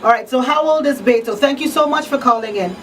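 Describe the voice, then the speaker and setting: Higher-voiced woman hosting live radio. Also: fast talker, distant mic. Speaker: radio talk show host. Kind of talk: live radio chat with listeners. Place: small radio studio. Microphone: studio microphone on boom arm. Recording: off-mic room sound, faint noise.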